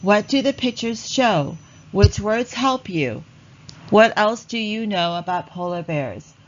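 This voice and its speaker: A woman narrating a read-along lesson for children in a deliberate, instructional way. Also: medium pitch, lively intonation, muffled recording, a little hiss or hum.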